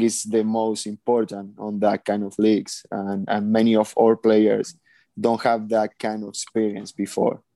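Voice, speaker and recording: A man in his thirties from Argentina, a former pro futsal player, speaking halting second-language English with many pauses. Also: fairly low pitch, creaky, dark tone, no room sound. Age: 30s. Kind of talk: casual panel chat.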